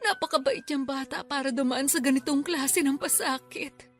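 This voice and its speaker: Woman doing a grieving filipino lola for radio drama, high voice, emotional n weepy, bit of music behind. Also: normal speed, natural voice. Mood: sorrowful, worried. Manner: tearful, emotional delivery. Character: grieving elderly grandmother, tearful, mourning her daughter. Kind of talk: Tagalog radio drama acting.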